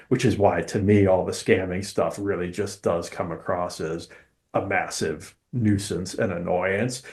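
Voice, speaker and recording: A man talking casually as a podcast host, giving his opinions in an emphatic, deliberate way. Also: low voice, creaky, a little mellow.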